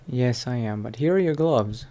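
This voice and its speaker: A man's voice: mid-range voice, animated, normal speed, mellow. A man doing casual, improvised roleplay commentary as he plays a video game, laid-back and hesitant. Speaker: gamer roleplaying as his character.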